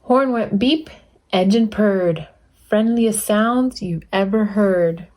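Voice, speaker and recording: Woman reading a children's picture book expressively. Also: medium pitch. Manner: animated, deliberate emphasis on sound words. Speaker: volunteer children's book reader.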